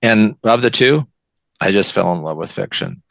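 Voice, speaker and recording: Older man, American accent, gravelly, lowish pitch, close dry sound, enthusiastic conversational interview guest. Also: expressive, normal speed, fairly bright tone, no room sound. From West Coast of the United States. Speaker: guest, novelist and college teacher.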